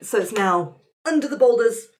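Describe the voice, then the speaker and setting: A woman's voice: on the high side, expressive, normal speed. Woman running a tabletop game, noisy recording. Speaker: game facilitator. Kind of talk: casual tabletop game narration.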